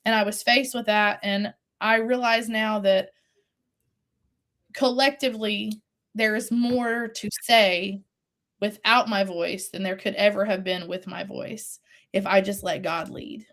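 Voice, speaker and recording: A woman's voice: mid-range voice, steady tone, a little slow, fairly bright tone. A woman in her forties, a singer-songwriter talking earnestly and reflectively in an interview, her voice still recovering after a loss. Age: 40s. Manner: earnest, faith-driven, with laughs and restarts.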